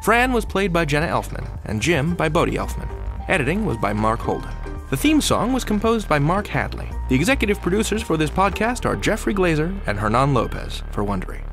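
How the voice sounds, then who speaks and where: A man's voice: a little high, a little fast, soft background music. Man reading podcast outro promos. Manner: friendly, even promo read. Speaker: podcast host. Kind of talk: scripted outro announcements.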